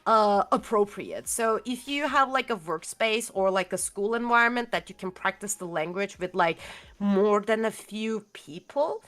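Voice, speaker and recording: A young woman with a Turkish accent talks casually as an interview guest. Her pitch sits in a middle range, and she rambles, stopping often to search for the right word with lots of fillers. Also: normal speed, a bit bright. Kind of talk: casual video-call chat.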